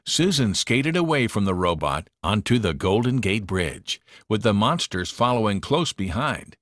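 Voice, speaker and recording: A man narrating a children's read-along storybook in an expressive, dramatic manner. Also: fairly low pitch, a little slow, no echo, studio quality.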